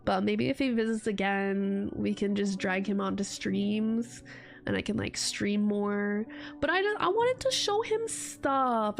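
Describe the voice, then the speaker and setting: A woman's voice: mid-range voice, vocal fry. A young woman streaming casual chat while getting over a cold, her voice stuffy and congested, rambling and laughing often. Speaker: VTuber streamer.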